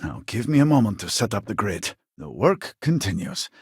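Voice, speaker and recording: An actor playing an earnest, exasperated scientist from a devout society in a sci-fi video game, speaking formally with a husky voice. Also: male voice, lowish voice, sing-song, dry recording, clean studio sound, heavily acted. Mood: determined, solemn. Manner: formal, measured, articulate. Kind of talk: video game character dialogue.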